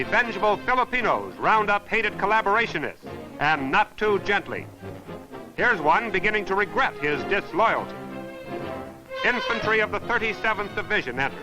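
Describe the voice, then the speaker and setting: An American man narrating a 1940s newsreel as commentator, in a dramatic, emphatic, declamatory style with clipped phrases. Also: high voice. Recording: noisy 1940s newsreel soundtrack. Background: music, artillery fire and battle sounds.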